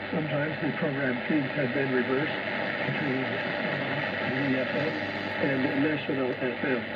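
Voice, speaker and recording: A man hosting a shortwave radio programme, reading a scripted news bulletin in a deliberate way. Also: medium pitch. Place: heard over a shortwave receiver. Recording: noisy muffled shortwave radio reception with static.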